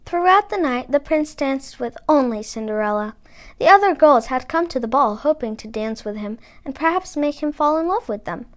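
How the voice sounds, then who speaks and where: Female narrator for children's fairy tales, high-pitched, expressive scripted read-aloud. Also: moderate pace. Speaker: storybook reader.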